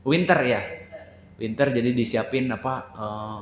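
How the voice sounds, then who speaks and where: A man with an Indonesian accent casually moderating a group question session, teasing and quick to chuckle. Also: medium pitch, slight background noise. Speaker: moderator of a tour briefing. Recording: echoey room pickup.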